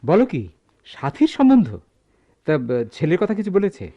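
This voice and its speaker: Animated middle-aged shop boss, muffled recording. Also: male voice, high-pitched, normal speed. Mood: eager. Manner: emphatic, theatrical. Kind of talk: Bengali radio drama acting. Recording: dull lo-fi radio drama sound.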